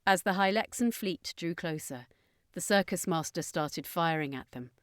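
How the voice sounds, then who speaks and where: A woman with a fairly low voice tells a fantasy story as scripted narration. The sound is dry, with very little room around it. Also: expressive, moderate pace, no echo.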